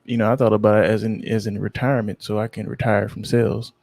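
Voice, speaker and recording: A man co-hosting a podcast in casual chat, rambling, low-key and sleepy-sounding, often losing his train of thought. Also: little pitch movement, quick pace, creaky, a little mellow, no echo. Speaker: podcast co-host.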